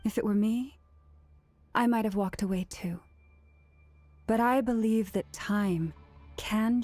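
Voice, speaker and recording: An actress playing a gentle, earnest young woman who leads a moon faith, with a measured, solemn delivery in a video game. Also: mid-range voice, close to an everyday voice.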